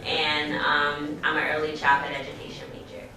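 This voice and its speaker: A woman's voice: sits low, monotone, normal speed, crowd noise. A young woman from Georgia, a student panelist, introducing herself in a chatty, self-aware way. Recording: echoey hall, distant mic, room noise.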